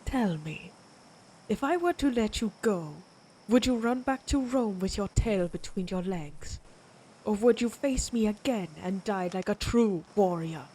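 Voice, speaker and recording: A woman's voice: medium pitch, expressive, mellow, slight background noise, close to an everyday voice, poor audio. A woman playing a proud, menacing vampire warrior of ancient Germanic tribes in a dramatic audio roleplay, speaking in a taunting, predatory way. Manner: slow, taunting, theatrical, predatory.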